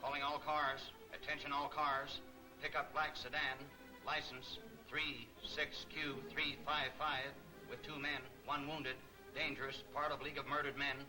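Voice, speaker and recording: An actor playing an official police radio dispatcher in a 1940s film serial, announcing deliberately. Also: male voice, medium pitch, flat delivery, lightly acted, low-quality recording. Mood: official, urgent. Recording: vintage 1940s film soundtrack, music behind.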